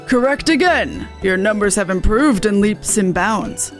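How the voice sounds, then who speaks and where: A woman's voice: mid-range voice, lively intonation, measured pace, soft background music, natural voice. A woman voicing a dignified older nobleman in a video game, earnest and formal with archaic phrasing. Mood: courteous, approving. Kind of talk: amateur game dialogue read-aloud.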